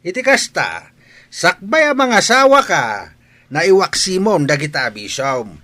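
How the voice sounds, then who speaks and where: Slow, swooping old village father. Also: high-pitched, very animated, pushed and bright, heavily acted. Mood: stern pleading. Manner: slow, drawn-out, emphatic preaching. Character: earnest elderly village father admonishing his son. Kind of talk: radio drama acting.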